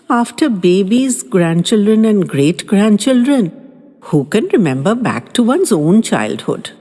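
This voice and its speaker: A woman reading a first-person story aloud in a gentle, reminiscing manner. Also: lowish voice. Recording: long reverb with faint background music.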